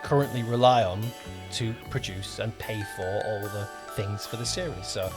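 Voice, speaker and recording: A man co-hosting a casual podcast as a producer, talking in a halting way, correcting himself and repeating phrases. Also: fairly low pitch, over music. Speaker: podcast co-host, audio drama producer.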